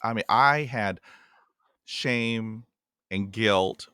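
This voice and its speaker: A man's voice: fairly low pitch, extremely slow, pushed and bright, clean tone, no room sound, studio quality. Earnest stuttering man in his forties. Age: 40s. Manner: earnest, repeats himself, stutters with blocks. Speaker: podcast host. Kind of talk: casual podcast interview.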